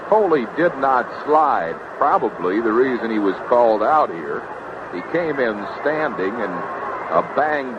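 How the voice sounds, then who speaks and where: An American man calling baseball play-by-play in clipped, terse phrases. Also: mid-range voice. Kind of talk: live sports commentary. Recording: noisy, dull 1970s radio broadcast tape.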